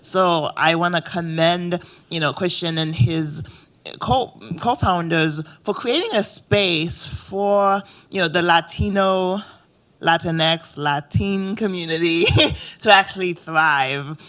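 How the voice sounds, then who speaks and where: A man's voice: high voice, slow, sharp tone. A man moderating a panel, introducing the next speaker in an earnest, rambling way full of "you know"s.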